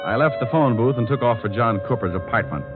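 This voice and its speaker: An actor playing a cool, hardboiled, wry and slangy private detective in 1940s radio style. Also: male voice, medium pitch, lively intonation, fast talker, over loud music, natural voice. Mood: dry, wry. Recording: dull lo-fi old radio recording.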